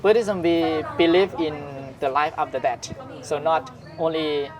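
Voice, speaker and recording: A young man with a Thai accent, a Buddhist monk, talking haltingly in an interview as he searches for words. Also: on the high side, highs cut off. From Shan State, Myanmar, raised in Thailand. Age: young adult. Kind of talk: casual interview conversation. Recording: busy crowd noise around. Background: crowd chatter.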